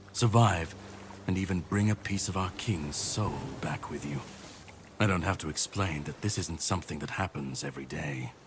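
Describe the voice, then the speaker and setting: An actor playing a grim, world-weary warrior on a mission in a video game, speaking in a grave, measured way. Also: male voice, sits low, natural voice. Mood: somber, menacing. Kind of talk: dramatic video game dialogue acting. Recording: clear background noise and ambience.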